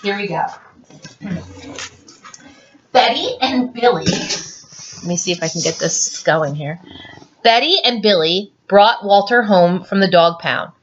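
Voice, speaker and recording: A woman reading a story aloud informally, with chatty asides and an animated, giggly manner. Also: mid-range voice, takes it slowly, pauses often, dull audio, slight background noise. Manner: animated, giggly, easily cracked up.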